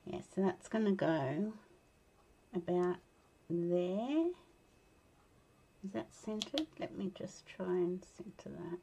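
Australian woman, croaky and tired, slow chatty card-making demo, gravelly husky tone, mutters while working. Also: on the low side, lively intonation, warm tone, slight background noise. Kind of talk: casual crafting tutorial chat. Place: indoor craft desk, overhead camera.

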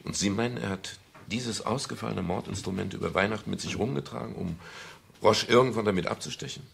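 Very deep, gravelly laconic private detective. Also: male voice, a little fast, a bit bright. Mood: pondering. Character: dry, laconic male private detective and narrator. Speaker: actor and first-person narrator in a radio drama. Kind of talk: 1970s German radio crime drama acting. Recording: noisy old radio recording with clicks.

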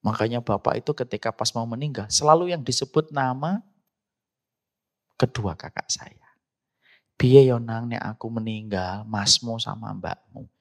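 A middle-aged man preaches with a Javanese accent at a medium pitch. He mixes religious teaching with storytelling in a conversational manner. He often turns to address his listeners directly. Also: unhurried. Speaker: Islamic preacher. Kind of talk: sermon with personal storytelling.

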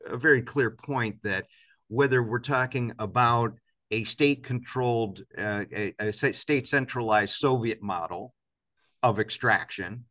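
A man in his fifties with a bright, forceful voice answers in an interview over a video call. He speaks reflectively, stressing points and posing rhetorical questions at a medium pitch. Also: measured pace. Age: 50s. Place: indoors, large office-like room, on a video call.

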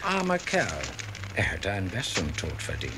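A cool, worldly gentleman reporter in a radio play. His fairly low voice moves around a lot in pitch while staying dry in manner, over clear music. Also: wildly swooping pitch, normal speed. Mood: grave observing. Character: cool, phlegmatic British gentleman newspaper reporter. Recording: music behind the voice.

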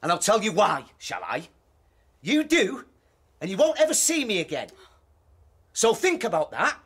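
An actor playing an evasive, controlling estranged husband in a modern radio drama, hesitant and pleading, then sharply ordering. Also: male voice, high-pitched, huge pitch swings, moderate pace, fairly bright tone, slightly rough, heavily acted. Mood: menacing.

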